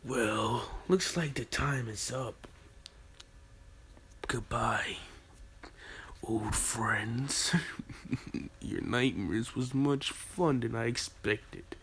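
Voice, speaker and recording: A man voicing a sinister young male ninja who taunts slowly in a low, hushed whisper. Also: husky, exaggerated voice. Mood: mocking. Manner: slow, taunting. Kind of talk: fan story roleplay.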